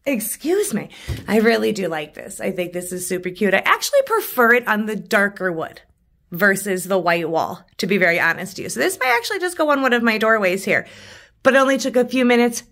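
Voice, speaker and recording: A woman with a froggy, hoarse voice chats through a craft tutorial while working at a coffee table in her living room. She is self-deprecating and laughs at her own mistakes. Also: mid-range voice, very animated, brisk, fairly bright tone. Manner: chatty, self-deprecating, giggly. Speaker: craft vlogger. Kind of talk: casual DIY tutorial narration.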